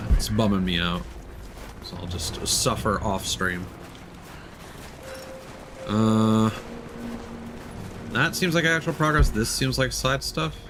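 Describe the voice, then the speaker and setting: Low-voiced man musing over gameplay. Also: creaky, background noise. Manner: musing aloud, unhurried asides. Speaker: game streamer. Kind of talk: casual livestream gameplay commentary. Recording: game ambience behind voice. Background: faint game ambience.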